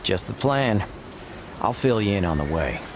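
An actor playing a curt, determined cowboy gunslinger with an American accent in a video game. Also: male voice, on the low side, sing-song, normal speed. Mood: businesslike. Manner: curt, dry, stubborn. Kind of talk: video game cutscene acting. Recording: loud rain noise behind voice.

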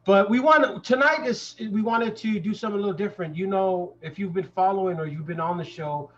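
A man's voice: sits high, a little fast. A man in his thirties hosting a casual live show and interviewing a guest over a video call. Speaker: podcast host. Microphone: podcast mic with headset. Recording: slightly dull video-call audio.

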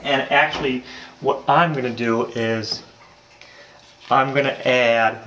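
A man's voice: medium pitch, fairly even intonation, takes it slowly, pushed and bright. A young man casually talking through a home recipe in his kitchen, rambling with lots of ums, recorded on a handheld camera. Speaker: home cooking vlogger. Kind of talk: casual cooking demonstration. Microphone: handheld camera mic. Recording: echoey kitchen, faint noise.

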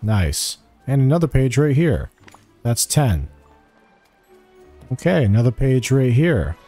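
A man's voice: mid-range voice, big pitch swings, slow, mellow, faint music behind. A man giving a casual video game walkthrough, thinking aloud in short, offhand remarks as he plays. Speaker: gamer giving a quest walkthrough. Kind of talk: casual gameplay commentary.

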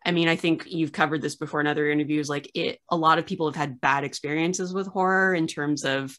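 A woman's voice: deep voice, steady tone, fast talker, a bit bright. A young woman hosting a video call interview, chatty, laughing, with lots of fillers. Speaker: host, archivist. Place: home room indoors.

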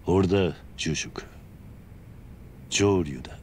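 An actor playing a stoic middle-aged fighter in a video game, speaking in a terse, gruff, restrained way. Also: male voice, low-pitched, takes it slowly, a little mellow, slight background noise. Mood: grave, resolute. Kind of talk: video game drama acting.

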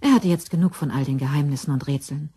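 A woman's voice: low-pitched, fast, dark tone, husky. A woman narrator reading scripted narration for a crime radio drama.

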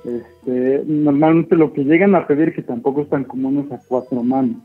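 A young Mexican man handles a customer call courteously and reassuringly. His voice is rough-edged and husky, heard through a dull phone line with faint music. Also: mid-range voice, moderate pace. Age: young adult. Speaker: spa receptionist on the phone. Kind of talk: polite customer service phone talk.

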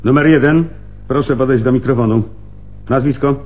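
An actor playing a seasoned, wry and commanding police lieutenant in a Polish radio play. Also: male voice, medium pitch, normal speed, husky, phone-quality sound, natural voice. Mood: brisk questioning.

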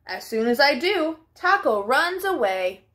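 High-pitched, animated young woman storytime reader. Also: a little hiss or hum. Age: young adult. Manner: expressive, deliberate, child-directed delivery. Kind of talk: read-aloud children's storybook narration. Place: small furnished living room at home.

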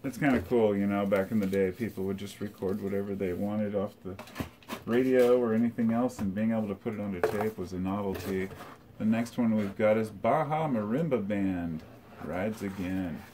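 Middle-aged guy casually thinking out loud, kinda low voice, at home w a camera close by. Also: moderate pace, background noise. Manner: relaxed, rambling, thinking aloud with fillers. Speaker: collector showing his tape reels. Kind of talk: casual show-and-tell. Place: living room by a record shelf, indoors.

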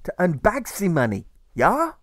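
Man playing a high, genial German mentor. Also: wildly swooping pitch, unhurried, no room sound, clean studio sound, heavily acted. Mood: coaxing. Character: kindly German man, teasing mentor to a boy.

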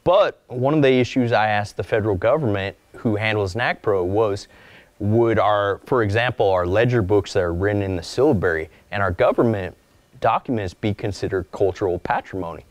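A man's voice: on the low side, moderate pace, dry recording. Man lecturing in a dry room. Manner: conversational, acts out little quoted asides; calls his own voice monotone. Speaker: archivist giving a lecture. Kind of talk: lunchtime lecture with slides. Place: mid-size lecture room, indoors.

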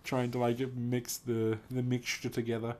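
A man's voice: mid-range voice, completely flat delivery, normal speed, darkish tone. A man reading a story aloud casually with amused, incredulous commentary, often laughing and swearing as he goes. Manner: giggly, laughs through his reading.

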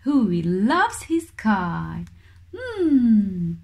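A woman reading a storybook aloud to young children with expressive, deliberate phrasing. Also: medium pitch, creaky. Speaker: children's book reader.

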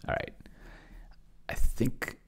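A middle-aged man giving casual live commentary as he types and reads aloud, thinking out loud and trailing off, close on a desk microphone. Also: takes it slowly, in a whisper. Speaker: solo role-player and streamer demonstrating AI game mastering. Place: small indoor room. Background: computer keyboard typing.

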